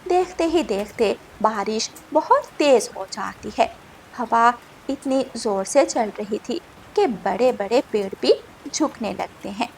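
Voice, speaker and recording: High-voiced woman narrating a story. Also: lively intonation, slow, gravelly, a little mellow, slight background noise, poor audio. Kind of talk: scripted cartoon story narration.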